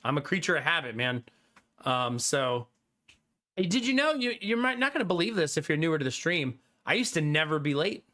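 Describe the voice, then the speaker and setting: A young adult man streaming live, chatting casually with his viewers, animated and talkative, often laughing. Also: on the high side, brisk.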